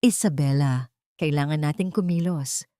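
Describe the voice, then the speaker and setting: A woman's voice: low voice, normal speed, mellow, no echo, studio quality, lightly acted. A steadfast man bent on revenge , acted out by a woman narrator speaks with a Filipino accent. He is earnest, tense and resolute, in a dryly recorded romantic melodrama. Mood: tense, urgent. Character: steadfast, intense man plotting revenge, devoted lover.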